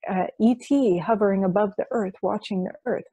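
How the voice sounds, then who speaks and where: Woman practitioner for an interview guest spot; eager, example-packed delivery, mellow voice, dry recording. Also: mid-range voice, fairly quick, breathy edge, no echo. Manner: enthusiastic, lists many examples. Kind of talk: explanatory interview talk.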